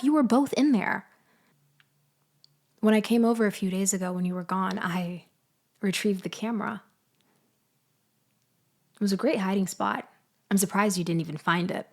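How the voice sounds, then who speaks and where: A woman playing a betrayed, vengeful ex-girlfriend in an audio roleplay monologue, taunting with deliberate, condescending delivery. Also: mid-range voice, close to an everyday voice. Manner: slow, deliberate, condescending, with sighs and pauses. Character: betrayed, vengeful ex-girlfriend confronting her framer, coolly taunting. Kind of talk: girlfriend ASMR-style dramatic roleplay monologue.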